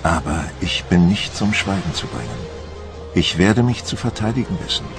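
An actor playing a proud, embittered French admiral, solemn and theatrical, in a dramatised documentary monologue. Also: male voice, low voice, sing-song, moderate pace, creaky, full character voice. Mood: brooding, defiant. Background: music.

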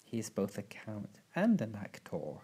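A man's voice: on the low side, wildly swooping pitch, measured pace, mellow, slightly rough, exaggerated voice. A man voicing a busy, stiff middle-aged banker in a storybook, formal and matter-of-fact. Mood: brisk, dismissive.

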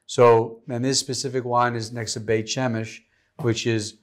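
A man's voice: mid-range voice, flat delivery, unhurried. A man in his thirties hosting a casual wine tasting chat in a studio, enthusiastic and rambling, with a lapel mic. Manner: enthusiastic, rambling with many likes. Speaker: host. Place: studio set with vineyard backdrop.

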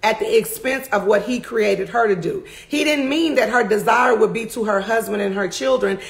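Woman preacher, raspy gravelly voice, fervent and emphatic, middle-aged, close webcam in a home study. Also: on the high side, normal speed. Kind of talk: sermon recap to camera. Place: home study with bookshelves, indoors.

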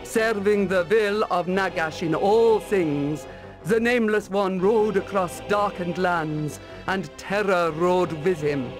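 A grave, regal sovereign from a fantasy game, speaking in a high register. The delivery is slow and solemn, each phrase measured like an old legend being told, over prominent music. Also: male voice, poor audio. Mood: solemn, ominous. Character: grave, regal sovereign of a cold northern empire. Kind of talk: fantasy video game cutscene acting. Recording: loud music under the voice.

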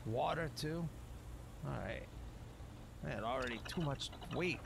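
A man's voice: mid-range voice, a little slow, creaky, noisy background. A man giving casual video game commentary in folksy, slangy speech, muttering along to himself as he plays. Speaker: gameplay streamer.

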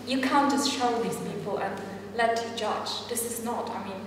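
A woman's voice: fairly high pitch, normal speed. A middle-aged woman with a Polish accent speaking insistently as a journalist on a discussion panel. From Poland. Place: gallery room with small audience. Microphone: distant camera microphone. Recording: very echoey hall, distant, noisy.

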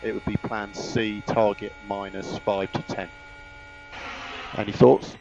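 A man speaking as a race engineer over team radio, giving clipped, measured instructions and repeating the key calls. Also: on the low side, little pitch movement, vocal fry, highs cut off, noisy background. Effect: team radio transmission.

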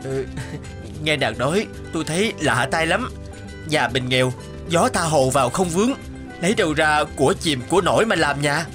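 Humble young villager with lively intonation played by a man. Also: a little high, moderate pace, close to an everyday voice. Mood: puzzled, earnest. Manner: earnest, self-effacing. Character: humble, kind, poor orphaned young villager. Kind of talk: cartoon folktale dubbing. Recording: music behind the voice.

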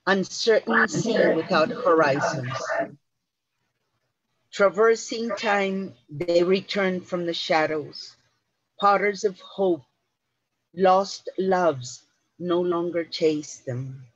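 A man giving a measured reading of poetry over a video call. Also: high voice, breathy edge, no echo. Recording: dull lo-fi video call audio.

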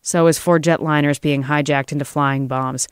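Man playing a stern older cop, crisp. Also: on the high side, steady tone, moderate pace, no echo, studio quality, lightly acted. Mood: firm, official. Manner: firm, official. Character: stern, older male police officer, firm and procedural.

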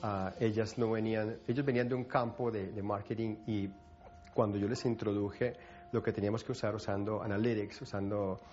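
A man speaking in a halting way with many restarts in a documentary interview. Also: lowish voice, fairly even intonation, darkish tone. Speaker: tech group founder. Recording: slightly dull audio with music. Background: music.

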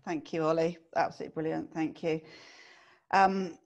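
A woman's voice: deep voice, flat delivery, normal speed, dull audio. A woman in her forties hosting a webinar over a video call, enthusiastic and appreciative as she responds to a speaker. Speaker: webinar host. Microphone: video call webcam.

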